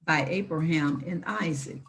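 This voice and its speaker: A woman reading aloud in an emphatic, deliberate manner, with informal asides. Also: low voice, a bit bright. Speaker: reader with commentary.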